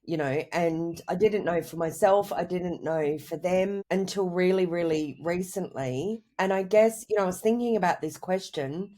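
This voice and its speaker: A woman with a cold, sounding croaky, answering interview questions over a video call in a reflective, rambling way. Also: fairly low pitch, moderate pace, few pauses, dark tone. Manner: reflective, rambling with many "you know" and "I guess". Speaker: guest, writer and mother. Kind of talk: candid conversational interview answers.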